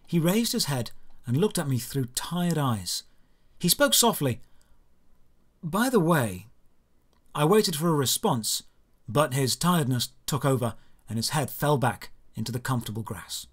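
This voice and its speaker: A man narrating a scripted first-person fantasy story in a dramatic, deliberate storytelling manner. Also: a little high, very animated.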